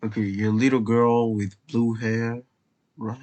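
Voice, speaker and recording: A man's voice: medium pitch, flat delivery, unhurried, darkish tone. A man hosting a let's play, giving casual, offhand reactions in short muttered remarks. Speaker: gaming video host.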